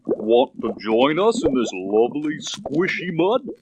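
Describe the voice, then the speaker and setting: A man voicing a lazy, friendly tortoise on a children's read-along record, in a slow, languid drawl. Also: mid-range voice, sing-song, background noise. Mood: lazy, contented. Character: lazy, contented, friendly tortoise basking in mud.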